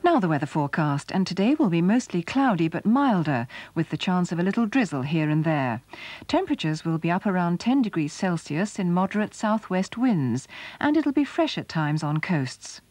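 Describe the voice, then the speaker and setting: A woman gives scripted continuity announcements and weather. Her lowish voice moves very widely in pitch, and the sound is dry and close. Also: sing-song, normal speed, a little mellow, no room sound.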